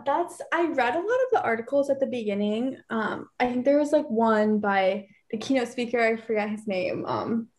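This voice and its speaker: A young woman on a video call from her bedroom, speaking with many fillers and occasional stutters. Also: a little high. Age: young adult. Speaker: teen advisory council member. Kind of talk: casual panel discussion on video call. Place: bedroom at home, indoors. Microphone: laptop webcam on video call.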